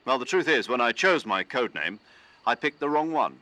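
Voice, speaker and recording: An actor playing an authoritative male military commander in a video game, briefing an agent in a measured, firm, explanatory way. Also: medium pitch, animated, sharp tone, poor audio. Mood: earnest, explanatory. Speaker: mission commander. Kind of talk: dramatic video game voice acting.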